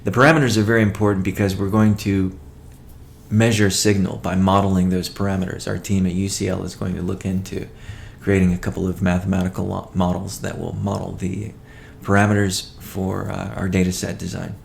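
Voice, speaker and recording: A man presenting a company update as a chief executive, in a measured, matter-of-fact way with halting phrasing. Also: sits low, little pitch movement, gravelly, slight background noise. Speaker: company CEO hosting a weekly call. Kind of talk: business update presentation, partly read from notes.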